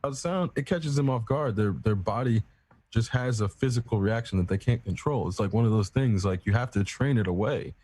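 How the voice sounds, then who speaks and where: A man's voice: medium pitch, a little fast, darkish tone, breathy edge, no echo. A man in casual chat, explaining at length in a rambling, profane, self-assured way. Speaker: podcast co-host.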